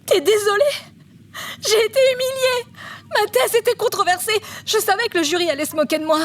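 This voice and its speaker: A young actress playing a weary, hurt student in an audio drama. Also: female voice, very high voice, a little fast, fairly bright tone. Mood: hurt, tearful. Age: young adult. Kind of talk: French audio drama acting.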